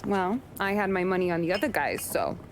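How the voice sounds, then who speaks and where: An actress playing a sassy young working-class woman in a video game, teasing and wry but warm-hearted. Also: on the low side, expressive, brisk, a little hiss or hum, natural voice, rough recording. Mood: playful, teasing. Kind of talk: dramatic video game acting.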